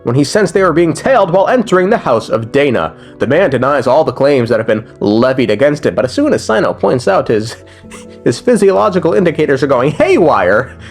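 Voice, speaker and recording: A man's voice: a little high, big pitch swings, a little fast, soft background music. A young man giving a casual, slangy and profane recap commentary as a gaming video creator, joking as he goes.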